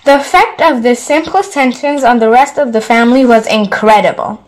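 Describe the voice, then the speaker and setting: A woman's voice: fairly high pitch, moderate pace. A woman storyteller narrating a bedtime story, reading it aloud from a book. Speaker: storyteller, channel host.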